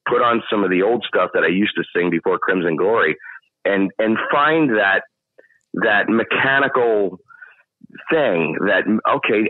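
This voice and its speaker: A middle-aged man with a low baritone voice talks through an interview, thinking aloud and restarting his sentences often. His voice comes over a narrow, phone-like line with no room sound at all. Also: no room sound. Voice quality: deep baritone speaking voice. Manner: thoughtful, explains at length with many restarts. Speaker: guest singer. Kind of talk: casual interview chat.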